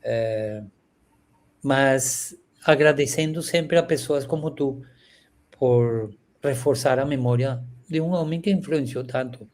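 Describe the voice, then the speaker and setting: Older Venezuelan man, Spanish-accented Portuguese, halting reflective guest on a video call, mid-range voice. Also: medium pitch. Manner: warm, halting, frequent filler "este". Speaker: interview guest. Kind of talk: reflective conversational interview.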